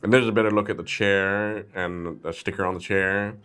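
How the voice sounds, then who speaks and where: Low-voiced man, casual reviewer. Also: fairly even intonation, normal speed, a bit bright, smooth. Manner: informal, playful, addressing viewers directly. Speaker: host of a brick-set review channel. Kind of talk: casual chatty product review.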